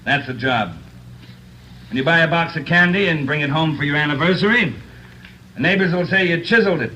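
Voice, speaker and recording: A man's voice: on the high side, a little fast, bright, forceful tone, rough recording. An American man playing a middle-aged veteran police officer, giving a blunt, emphatic speech in a 1940s radio drama. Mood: stern, earnest. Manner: plain-spoken, emphatic, hard-bitten. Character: blunt, earnest veteran male police officer lecturing cadets. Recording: hissy old 1940s radio recording.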